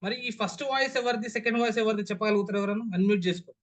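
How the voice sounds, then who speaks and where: A man's voice: high voice, steady tone, rapid-fire. A man leading an online voice modulation class over a video call, who keeps putting questions to his listeners and repeating them. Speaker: voice modulation trainer. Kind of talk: interactive online class talk.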